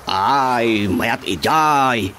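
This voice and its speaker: A man acting an excitable, stuttering old country cousin in an Ilocano radio comedy drama, with ambient noise behind him. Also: medium pitch, very slow, heavily acted. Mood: agreeable. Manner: stuttering, exclaiming.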